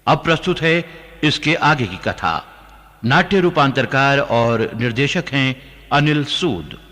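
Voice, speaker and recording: A man announcing a radio drama, giving solemn, deliberate scripted narration. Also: medium pitch, lively intonation, fairly bright tone. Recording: long reverb on voice.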